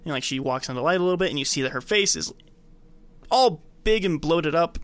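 A podcast co-host casually retelling a film scene by scene, acting out bits in an animated way. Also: medium pitch, a little fast, no room sound.

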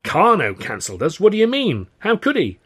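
A man plays an earnest, anxious showman in an audiobook tale. His voice is husky and creaky, with an urgent, insistent delivery that stays close to everyday speech. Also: mid-range voice, very animated, a little fast, heavily acted. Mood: shocked disbelief. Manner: urgent, placating. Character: earnest, anxious vaudeville company man, pleading and indignant.

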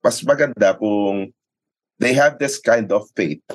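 A man's voice: on the low side, measured pace. A man with a Filipino accent talking conversationally as a sports commentator being interviewed, often checking for agreement with short tag questions. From Philippines. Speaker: sports announcer and radio commentator, guest. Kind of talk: conversational interview talk in mixed English and Tagalog.

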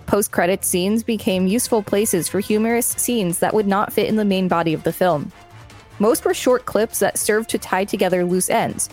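A woman co-hosting a podcast, reading an article aloud and chatting casually. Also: fairly low pitch. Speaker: podcast co-host.

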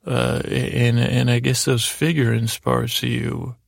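Gravelly, creaky man rambling at bedtime. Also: mid-range voice, level tone, dry recording, studio quality. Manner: meandering, halting, stumbling over words. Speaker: podcast host. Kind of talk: rambling bedtime monologue to help listeners sleep.

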